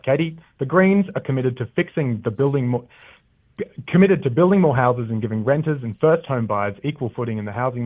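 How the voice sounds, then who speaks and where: A man, an election candidate, answering interview questions on the radio, stumbling and reading prepared talking points. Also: mid-range voice. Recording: tinny phone line.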